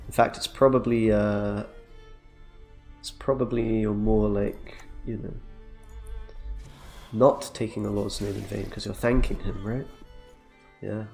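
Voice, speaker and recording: A young man talks casually through his card game from a small room, joking and reacting out loud as he plays. His voice has a gravelly, fry-edged texture, and faint game music runs underneath. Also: lowish voice, fairly even intonation, slow, long pauses. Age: young adult. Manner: chatty, jokey, reacts aloud to plays. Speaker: streamer. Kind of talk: casual gameplay commentary.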